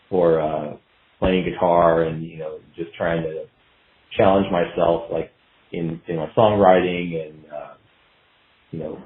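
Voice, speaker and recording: A low-voiced man speaks casually as a podcast guest over a thin phone-like connection. He rambles haltingly, circling back on his phrases as he goes. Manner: rambling, halting, trailing thoughts. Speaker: podcast guest, band guitarist. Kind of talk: casual interview chat. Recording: thin phone-like line with room echo.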